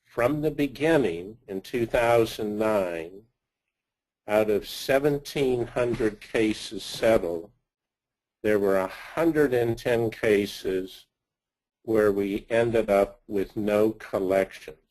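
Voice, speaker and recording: A man giving a slide presentation of financial results as a company executive, speaking haltingly in short phrases. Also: lowish voice, a little mellow, poor audio.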